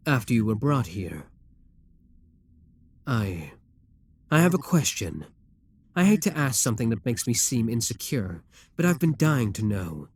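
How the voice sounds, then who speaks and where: A man voicing a possessive, scheming demon king who talks to the listener in a calm, coaxing, self-assured way. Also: mid-range voice. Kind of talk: audio roleplay addressed to the listener.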